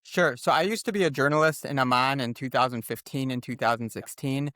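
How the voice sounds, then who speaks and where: A man's voice: mid-range voice, a little fast, sharp tone, dry recording. An American man with a mid-range voice answers as an interview guest. He explains things in an articulate, orderly and informative way. The recording is dry, with hardly any room sound. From United States. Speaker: guest author and former journalist.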